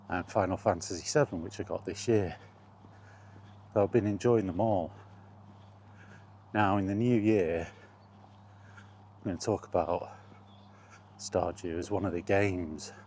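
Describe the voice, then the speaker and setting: A middle-aged man in an unhurried, halting, reflective solo chat as a gaming vlogger. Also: low-pitched, darkish tone, a little hiss or hum. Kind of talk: rambling casual chat about video games.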